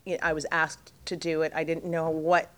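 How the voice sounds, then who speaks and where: Low-voiced jet-lagged woman rambling. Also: little pitch movement, a little fast, smooth. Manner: rambling, lots of fillers and restarts, laughs often. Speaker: actress interviewed as guest. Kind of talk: casual interview chat.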